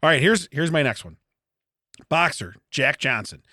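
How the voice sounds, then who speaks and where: A man's voice: on the high side, expressive, moderate pace, bright, forceful tone, dry recording, studio quality. A middle-aged man co-hosting a comedy podcast, rambling through odd facts in casual chat and repeating phrases for comic emphasis.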